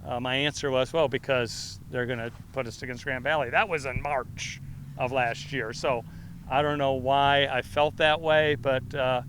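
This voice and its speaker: A middle-aged man, a head football coach with a loud voice, answering reporters in a loose, rambling way full of fillers and restarts. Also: medium pitch, steady tone, normal speed, a little hiss or hum. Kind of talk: press conference answers.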